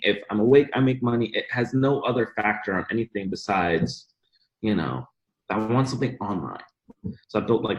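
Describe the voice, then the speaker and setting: Young man from Bosnia, podcast guest, low-ish voice, upbeat storytelling. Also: low-quality recording. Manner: upbeat, enthusiastic storytelling, laughs often. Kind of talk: casual interview chat.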